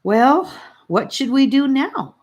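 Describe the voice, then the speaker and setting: A woman voicing a feisty, bossy but caring young girl in an audiobook, with an emphatic, expressive delivery. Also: high voice, slow, lo-fi sound, pitched above the performer's own voice, exaggerated voice. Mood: bright, eager. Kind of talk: audiobook character voice.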